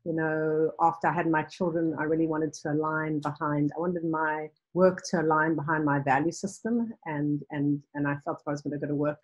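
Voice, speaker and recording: Middle-aged woman on a video call, low voice, lots of ums, thinks out loud. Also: fairly even intonation, fairly quick, few pauses, dull audio. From South Africa. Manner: thoughtful, frequent ums and restarts. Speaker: guest, nonprofit founder. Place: home room with bookshelves.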